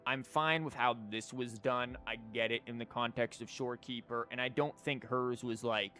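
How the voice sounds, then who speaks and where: A man's voice: medium pitch, fairly even intonation, moderate pace, sharp tone. A man giving casual livestream commentary on a game, rambling informally and thinking aloud with false starts. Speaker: video game streamer.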